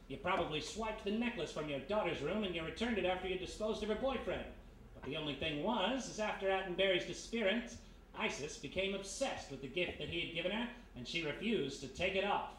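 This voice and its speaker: A man's voice: high voice, fairly bright tone. A man playing a brash, wisecracking American private detective in a live 1930s-style radio play. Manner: wisecracking, slangy, explaining confidently. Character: brash American private detective, reformed thief, laying out a case. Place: live performance in a historic house. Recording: roomy live stage performance, faint noise.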